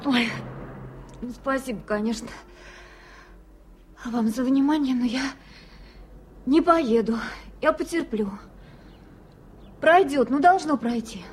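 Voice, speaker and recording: An actress playing a stubborn, naive young woman in pain in a 1980s Soviet radio drama, speaking in halting, hesitant bursts. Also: high-pitched, a little mellow. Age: young adult. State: pained. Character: stubborn young provincial female exam applicant in pain. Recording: faintly noisy archive radio play recording.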